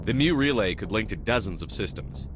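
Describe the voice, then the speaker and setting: An actor playing a principled military commander in a video game, firm, measured and authoritative. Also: male voice, lowish voice, animated, background noise, lightly acted. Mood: firm, calm. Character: steady, principled male military commander, human. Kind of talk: video game cutscene acting.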